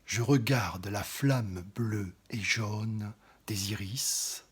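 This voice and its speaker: Man, poetry recitation, very slow, very breathy, whispers often, swells on some phrases. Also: on the low side. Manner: drops often into an intimate whisper.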